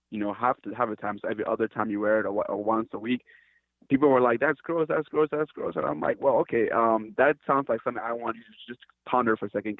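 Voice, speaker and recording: Low flat-voiced man on a phone line. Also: dry recording. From Cameroon. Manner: hesitant, restarts often, earnest. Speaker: company founder, guest. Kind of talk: casual interview talk. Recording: tinny dry phone line.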